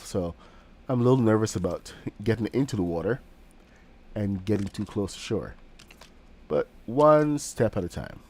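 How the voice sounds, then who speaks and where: A man's voice: fairly low pitch, darkish tone, husky. Rambling male streamer with a cold. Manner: rambling, with frequent fillers and restarts. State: has a cold, sniffling. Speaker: game streamer. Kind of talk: casual live-stream commentary while building.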